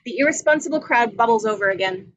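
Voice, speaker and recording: A woman's voice: fairly high pitch, expressive, quick pace, fairly bright tone, no echo, lo-fi sound. A woman reading out scripted scene descriptions and stage directions as a narrator. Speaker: reader of action descriptions.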